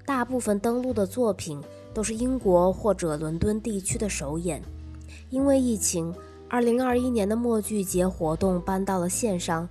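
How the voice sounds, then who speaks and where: A woman's voice: mid-range voice, moderate pace, dark tone, faint music behind. A woman podcast host reading a scripted, first-person arts review.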